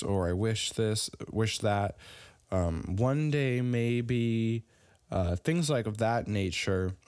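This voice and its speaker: A young adult man giving a casual online business lesson, halting often with fillers and restarts. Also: lowish voice, a little mellow, dry recording, studio quality. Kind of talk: casual instructional talk.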